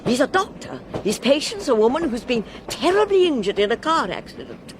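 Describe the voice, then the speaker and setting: Animated, chatty English governess. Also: female voice, a little fast. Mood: confiding. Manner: effusive, fussy, calls the listener "my dear". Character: chatty, kindly English governess, older woman, eager and confiding. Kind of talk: radio drama acting. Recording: train rumble and noise behind.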